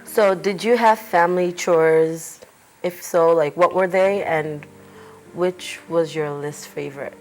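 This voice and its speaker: A woman's voice: fairly low pitch, steady tone, takes it slowly, a little mellow, soft background music. A woman hosting an oral history interview, asking brief, plain questions.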